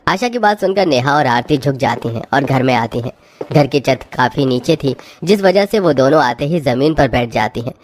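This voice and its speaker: A woman's voice: extremely low pitch, lively intonation, moderate pace. A woman narrator reading a scripted cartoon story.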